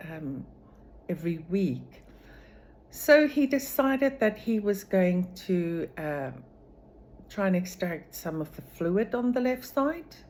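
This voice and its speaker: Woman, low deep voice, slow measured pace, frequent pauses, video diary, faint background noise. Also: darkish tone. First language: Afrikaans. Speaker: vlogger sharing her recovery.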